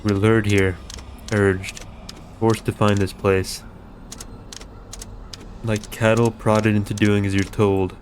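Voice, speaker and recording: A bored, bandage-wrapped wanderer sneers from beside his tent, read out by a man in a low voice. The taunting lines come out flat and level, with little rise or fall, over a faint hum behind. It sounds like a streamer voicing a game character's dialogue. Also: takes it slowly, long pauses. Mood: mocking. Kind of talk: reading game dialogue aloud.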